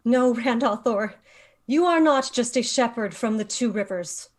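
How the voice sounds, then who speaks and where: A woman's voice: a little high, unhurried. A woman playing a commanding, composed leader of a magical order in a screenplay table read. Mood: firm, grave.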